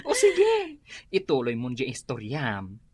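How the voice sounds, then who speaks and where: An actress playing a gossipy, flattering female office worker in an Ilocano radio comedy, with loud exaggerated exclamations. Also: a bass voice, slow pace, breathy edge, no echo, full character voice. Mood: teasing. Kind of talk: radio comedy drama acting.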